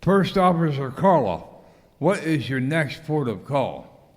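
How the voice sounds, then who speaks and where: A fussy, protective male castaway, played by a man, talks slowly with very lively inflection in radio-skit style. His voice rings in an echoey hall. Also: fairly high pitch. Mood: puzzled. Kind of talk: amateur radio comedy skit acting.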